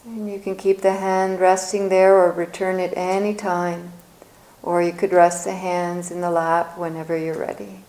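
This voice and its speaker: A woman leading a guided meditation as a teacher, speaking gently and soothingly in short phrases with long pauses. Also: on the low side, flat delivery, low-quality recording.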